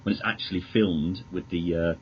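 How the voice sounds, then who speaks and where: British male interview guest, low voice, hesitant, courteous delivery, dull lo-fi recording with noticeable noise. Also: steady tone. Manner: hesitant, stammering restarts, polite and measured. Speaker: podcast guest, magazine editor. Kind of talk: casual interview chat about films. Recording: dull lo-fi audio with clear noise.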